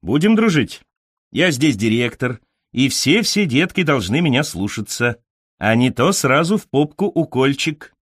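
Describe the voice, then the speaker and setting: A man's voice: mid-range voice, sing-song, unhurried, fairly bright tone, husky, no echo, studio quality, exaggerated voice. A man voicing a jovial, mock-friendly director of a children's institution in an audiobook, coaxing with a hint of menace. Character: jovial, syrupy adult male institution director, lightly menacing.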